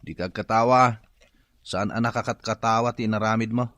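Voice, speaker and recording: A man's voice: lowish voice, fairly even intonation, a little fast, fairly bright tone, no echo, close to an everyday voice. A man acting a jealous, sulky young Filipino boyfriend with an Ilocano accent in a radio drama. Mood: jealous, accusing.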